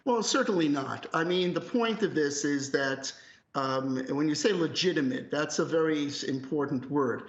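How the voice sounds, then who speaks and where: A man's voice: fairly high pitch, normal speed. A man speaking as an academic guest analyst on live news, conversational but emphatic, opinionated and direct. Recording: roomy echo, slightly muffled call audio.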